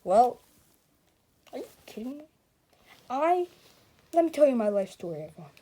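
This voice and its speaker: Woman acting a high whiny little toy hero, indignant, protesting, emphatic, puppet-show comedy. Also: dark tone, slightly rough, exaggerated voice. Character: indignant, whiny toy movie star pleading poverty. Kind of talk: improvised puppet show dialogue.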